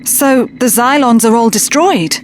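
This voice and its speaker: A woman's voice: on the high side, unhurried, slight background noise, close to an everyday voice. An actress playing a loyal, earnest female companion to a time-traveller in an audio drama. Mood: anxious questioning.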